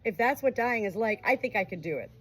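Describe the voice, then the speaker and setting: Woman reading as a heartfelt young mother, lifted pitch. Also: lively intonation, fast talker, slightly rough, rough recording. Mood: earnest, upbeat. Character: young dying mother, open, earnest, tender.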